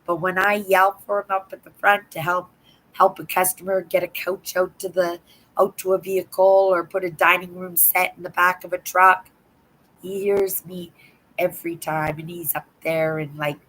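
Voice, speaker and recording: Older woman, livestream host, hoarse from a sore throat; rambling chatty delivery, pitched slightly low. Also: little pitch movement, normal speed, a bit bright, rough recording. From Canada. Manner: rambling, chatty, keeps adding "right?". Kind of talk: casual live chat to viewers.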